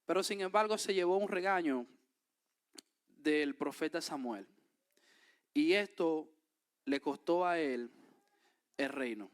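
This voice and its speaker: High-voiced Dominican preacher. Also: male voice, slow pace, pauses often. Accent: Dominican Spanish. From Dominican Republic. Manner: reads scripture aloud, then explains it with emphatic rises. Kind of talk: sermon with scripture reading.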